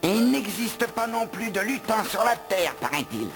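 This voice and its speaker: A man voicing a grumpy, gluttonous house elf in an animated film dub, with a theatrical, grumbling, wry delivery. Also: high voice, normal speed, creaky, heavily acted. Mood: solemn, knowing.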